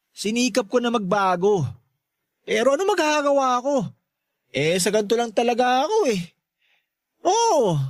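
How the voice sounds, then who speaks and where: Lasing na asawang tambay, pabago-bago ang tono. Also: male voice, sits high, no room sound, clean studio sound. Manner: rambling, repeating himself, pleading. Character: drunk jobless young husband, pleading, self-pitying, good-hearted. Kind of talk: Tagalog radio drama acting.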